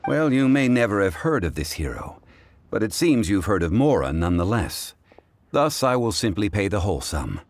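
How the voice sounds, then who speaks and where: Scholarly gentleman, low voice, expressive intonation, formal, eloquent, composed, game character. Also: normal speed. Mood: calm, matter-of-fact. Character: learned, composed gentleman, formal, unworldly connoisseur. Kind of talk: video game voice acting.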